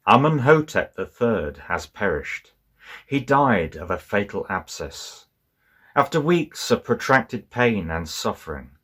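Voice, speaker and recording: A man's voice: deep voice, a bit bright, breathy edge. A man narrating a scripted audio comic story in a solemn, theatrical announcing manner.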